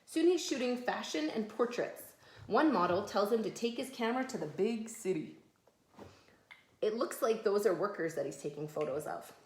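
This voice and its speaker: Mom doing a read-aloud, mid-pitched voice, patient simple explanations, roomy echo. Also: female voice, lively intonation, normal speed. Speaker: mother reading a picture book aloud to her children. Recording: echoey room.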